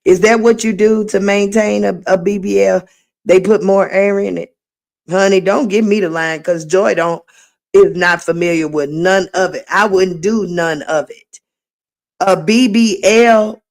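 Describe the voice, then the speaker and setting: A middle-aged woman with a slightly raspy voice, hosting a casual late-night livestream and reading comments aloud in a sassy way. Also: medium pitch, fairly even intonation, unhurried. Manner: sassy, drawling, reads chat aloud. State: voice getting raspy. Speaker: livestream host.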